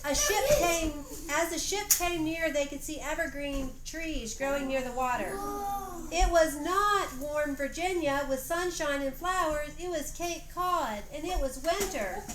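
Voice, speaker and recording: A woman narrating a homemade history play like a storybook, reading aloud expressively with deliberate phrasing, in a roomy home recording. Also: high voice, expressive. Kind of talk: storybook narration for an amateur play, with informal stage directions. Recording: roomy echoey home recording, faint noise.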